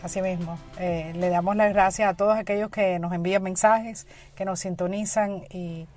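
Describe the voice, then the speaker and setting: A woman's voice: mid-range voice, steady tone, moderate pace, a little mellow, dry recording, soft background music. A middle-aged woman co-hosting a talk show, often chiming in to agree. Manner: affirming, chimes in often. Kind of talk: casual studio talk.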